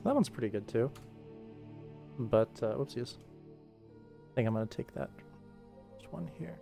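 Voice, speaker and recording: A man with a mid-pitched voice hosts a livestream as an animator. He talks casually while he works, with faint music playing behind him. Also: animated, measured pace, creaky. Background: faint background music.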